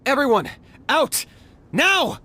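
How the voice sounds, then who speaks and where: An actor playing a stoic, resolute young hunter in a video game, terse and matter-of-fact. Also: male voice, very high voice, big pitch swings, takes it slowly, slight background noise, heavily acted. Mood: urgent. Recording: loud music behind the voice.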